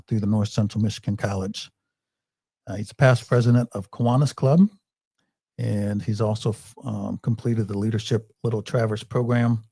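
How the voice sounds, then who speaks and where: Brief: male podcast host, lowish husky voice, mellow, upbeat, dry studio sound. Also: no echo. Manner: upbeat, reads bio notes with fillers. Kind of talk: podcast interview hosting.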